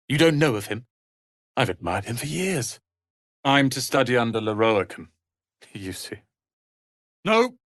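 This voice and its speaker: An actor voicing a proud, prickly young wizard's apprentice in a fantasy video game, haughty, theatrical and sharp-tongued. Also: male voice, fairly high pitch, no room sound, clean studio sound. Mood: furious.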